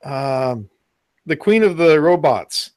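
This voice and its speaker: A man's voice: a little high, animated, slow pace, darkish tone. A man hosting a casual talk show, asking curious questions and reading viewer comments. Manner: curious, asks questions, reads chat comments. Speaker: host. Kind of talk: casual chat.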